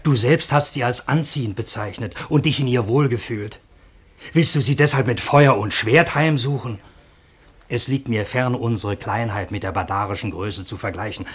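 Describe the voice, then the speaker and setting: An eloquent, indignant gentleman, acted by a man in a radio play. His voice is husky and medium in pitch. He pleads with formal, rhetorical passion. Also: moderate pace, lightly acted. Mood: indignant pleading. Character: eloquent, indignant male bar guest pleading with a warrior. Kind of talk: German radio play acting.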